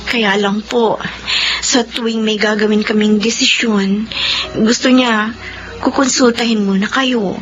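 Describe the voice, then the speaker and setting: Actress as long-suffering wife, earnest, pleading, high voice, dull lo-fi radio drama. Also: female voice, fairly even intonation, measured pace, long pauses, over music, close to an everyday voice. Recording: lo-fi with faint hiss.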